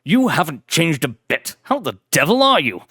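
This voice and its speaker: A man's voice: on the high side, very animated, moderate pace, pushed and bright, husky, dry recording, clean studio sound, pitched above the performer's own voice, exaggerated voice. A man playing a blustering aristocratic sea slug. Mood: exuberant. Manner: bombastic, blustering upper-class exclamations. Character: exuberant aristocratic male sea slug explorer.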